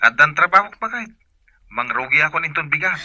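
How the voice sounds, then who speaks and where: A man's voice: a little high, big pitch swings, fairly quick, sharp tone, muffled recording, full character voice. A man acting a husband talking to his wife in a radio drama, with a Filipino accent. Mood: insistent. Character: husband announcing his new job to his wife.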